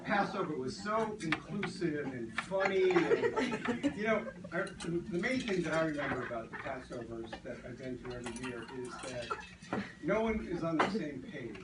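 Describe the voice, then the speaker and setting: A man's voice: on the high side, very animated, normal speed, heavy background noise. A man giving a casual, rambling toast at a birthday party, telling a story with hesitations. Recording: echoey room, distant mic, loud crowd.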